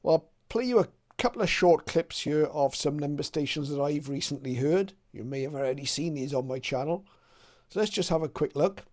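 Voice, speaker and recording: Male presenter, slightly high voice, conversational step-by-step explainer for a hobby channel. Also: lively intonation, brisk, darkish tone. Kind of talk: informal explainer to viewers.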